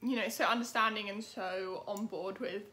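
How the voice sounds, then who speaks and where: A woman's voice: medium pitch, steady tone, moderate pace, pushed and bright. A young British woman chatting casually to camera as a vlogger, informal and self-deprecating. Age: young adult. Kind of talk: casual vlog chat to camera.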